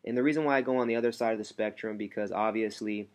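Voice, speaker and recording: Softly spoken motivational coach, low voice. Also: male voice, fairly even intonation, fast talker. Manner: soft, hushed delivery to keep a sleeping baby quiet, rambling with restarts. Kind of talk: casual motivational talk to camera. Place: at home.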